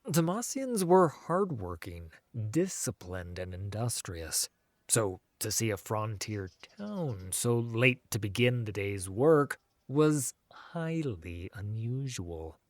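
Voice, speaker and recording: A man narrating a scripted fantasy audiobook in a measured, dramatic storytelling manner. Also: on the low side, sing-song, no echo.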